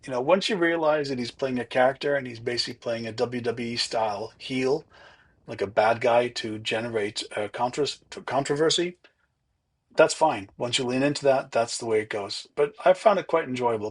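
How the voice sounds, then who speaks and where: A man narrating his own golf round in a relaxed, chatty, self-deprecating way. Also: medium pitch, brisk. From not from Ireland. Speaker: golf vlogger. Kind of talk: casual voice-over commentary.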